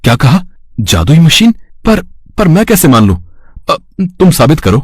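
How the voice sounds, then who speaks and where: A man playing a lazy, greedy village flower seller, theatrical and excitable with a husky voice, in a children's moral story. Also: on the high side, a little slow, no room sound, studio quality, full character voice. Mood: startled, doubtful. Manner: theatrical, stammering when startled, exclaiming. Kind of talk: animated moral story dialogue.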